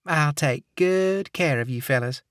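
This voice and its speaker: Audiobook: male narrator voicing a saccharine Southern lady receptionist in her fifties, sitting high in his range, dry read. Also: measured pace, no echo. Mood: sugary. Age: 50s. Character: sweet chatty middle-aged Southern receptionist woman.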